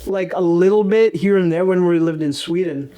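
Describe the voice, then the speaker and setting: Rambling young man with a higher voice. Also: normal speed, warm tone. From Uganda. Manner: rambling, with frequent fillers. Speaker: guest fighter and coach. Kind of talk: casual conversational interview.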